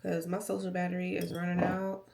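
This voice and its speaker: An American woman chatting casually and candidly to her vlog audience, playful and emphatic, with a slightly raspy, nasal voice. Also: on the low side, monotone, a little fast, darkish tone, a little hiss or hum. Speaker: vlogger. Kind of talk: casual confessional vlog chat.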